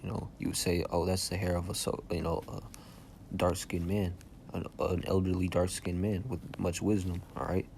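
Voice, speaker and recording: Young man, low and soft-spoken, casual insistent bible teacher, noisy recording. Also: flat delivery, normal speed, creaky. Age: young adult. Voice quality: soft-spoken by his own account. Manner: checks in with "okay?" and "all right?" after nearly every phrase. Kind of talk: informal scripture preaching.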